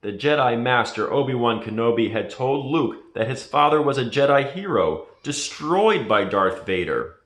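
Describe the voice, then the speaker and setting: A man reading a children's story aloud as a scripted narration, in a dramatic, deliberate manner. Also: mid-range voice, expressive, a bit bright. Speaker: storybook reader. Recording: echoey room.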